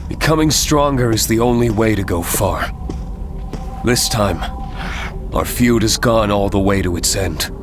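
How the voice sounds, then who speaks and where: A man's voice: fairly low pitch, unhurried, pauses often, lightly acted. An actor playing a vengeful, brooding male detective in a video game cutscene, stern and resolute. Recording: music under the voice. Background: music.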